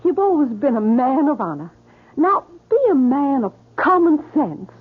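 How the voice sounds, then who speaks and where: Pleading young sweetheart, high, very animated, old radio drama. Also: female voice, moderate pace. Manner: earnest, emotional. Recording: tinny old radio broadcast.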